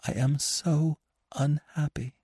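A man's voice: on the high side, expressive, takes it slowly, gravelly, darkish tone, husky, dry recording, studio quality, pitched above the performer's own voice, heavily acted. A man reading a novel aloud, voicing a distraught young married woman who pleads breathlessly and desperately. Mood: anguished. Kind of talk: audiobook reading of a classic novel.

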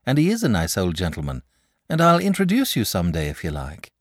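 A man's voice: low-pitched, huge pitch swings, fairly quick, dry recording, studio quality, heavily acted. A man voicing a frank, sensible young boy in a storybook, speaking politely and earnestly. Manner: matter-of-fact, polite, earnest.

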